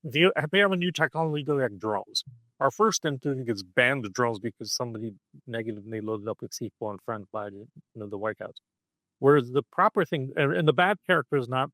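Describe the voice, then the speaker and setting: A man of middle pitch speaks as a podcast guest, keen to explain, and keeps checking in with the listener with a quick "okay?". The sound is dry and close, with no room around it. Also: fairly bright tone, no room sound, low-quality recording. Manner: punctuates points with "okay?" and "right?". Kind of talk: animated conversational explaining.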